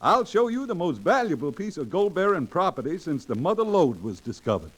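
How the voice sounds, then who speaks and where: An actor playing a greedy, smooth-talking swindler in an old-time radio Western. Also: male voice, on the high side, very animated, brisk, soft background music, rough recording. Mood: eager, persuasive.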